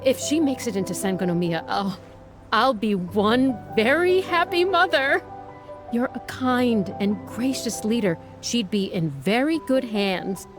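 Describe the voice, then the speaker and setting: An actress voicing a proud, chatty, slightly flustered village mother in an anime game, effusive and gushing. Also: medium pitch.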